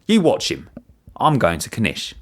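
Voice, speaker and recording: A man's voice: on the low side, wildly swooping pitch, measured pace, heavily acted. A man voicing a blunt, bossy old street character in a short story. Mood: gruff, challenging. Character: brusque, streetwise old man with a red nose.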